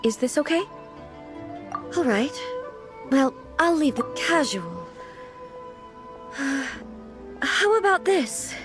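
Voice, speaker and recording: An actress playing a dignified, pensive female ruler in a video game, speaking formally and deliberately. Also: sits high, sing-song, over music, full character voice. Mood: awkward, hesitant. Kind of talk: scripted video game dialogue.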